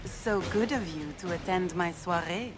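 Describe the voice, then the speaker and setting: Actress as a gloating, theatrical villain duchess. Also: female voice, lowish voice, normal speed, lightly acted. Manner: mock-courteous, grandiose. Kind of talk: video game voice acting. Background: music.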